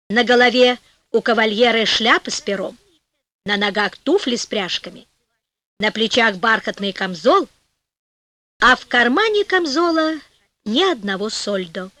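High-voiced, unhurried woman storyteller. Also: a bit bright, no echo. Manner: theatrical storytelling. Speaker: audiobook narrator. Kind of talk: expressive scripted folk-tale narration.

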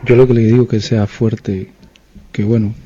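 A man talks haltingly in a low, very dark voice with little melody. It is personal talk on a slightly muffled radio recording. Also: flat delivery. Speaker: detainee's husband, studio guest.

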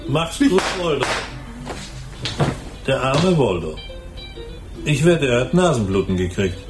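A drunken killer, drawls slowly with a voice that swings up and down. He sounds mocking and menacing, with music behind him in a radio crime play. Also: medium pitch, very animated. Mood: mocking menace.

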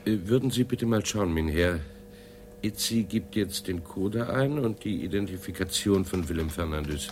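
A deferential assistant in a radio crime drama, speaking low and politely. He explains methodically, with a faint hum and typing behind him. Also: animated, moderate pace, a little mellow. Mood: polite, businesslike. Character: deferential, methodical assistant presenting computer findings. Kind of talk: 1980s German radio play acting.

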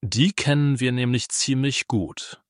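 A pragmatic works councillor with a sarcastic streak, acted by a man for a satirical radio play. His delivery is measured, with an average male pitch and no room sound at all. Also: no room sound, clean studio sound, natural voice. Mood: dry, firm. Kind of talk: German radio play acting.

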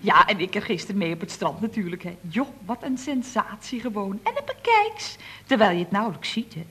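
Breezy, husky young secretary, swooping intonation. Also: female voice, medium pitch, very animated, a little fast, pushed and bright, full character voice. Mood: gushing, breezy. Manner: gushing, chatty. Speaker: actress in a radio comedy serial. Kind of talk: Dutch radio comedy serial acting, around 1970.

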